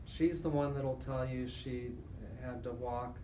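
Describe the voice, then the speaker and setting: A man's voice: medium pitch, steady tone, a little slow. A middle-aged man talking conversationally as a radio host. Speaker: radio host and estate planning lawyer. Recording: roomy, distant mic, loud background noise.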